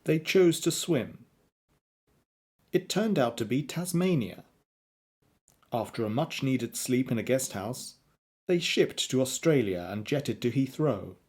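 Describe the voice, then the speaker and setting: A man's voice: mid-range voice, animated, takes it slowly, long pauses, a little mellow, husky. A man narrating a radio play as a scripted storyteller.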